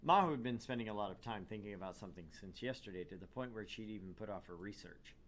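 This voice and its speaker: Low-voiced man narrating a visual novel. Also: lively intonation, quick pace, slight background noise. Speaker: narrator and gaming channel host.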